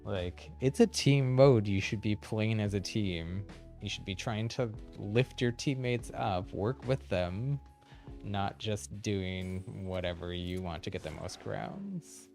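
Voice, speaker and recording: A man with a fairly low voice gives casual commentary on his gaming livestream. He talks informally as he plays, and music runs clearly behind him. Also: takes it slowly, darkish tone. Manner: chuckles often while talking. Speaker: livestream host playing a video game.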